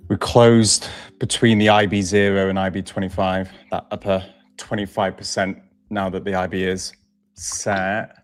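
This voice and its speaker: Stream host, male, low voice, relaxed explainer. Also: moderate pace, faint music behind. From Wales. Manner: explains stats plainly, relaxed banter. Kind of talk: casual live-stream chat.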